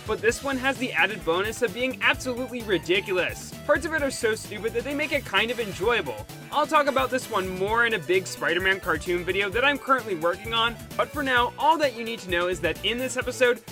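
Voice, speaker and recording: A man narrating a scripted, opinionated cartoon review as the host, critical in his delivery. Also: extremely high pitch.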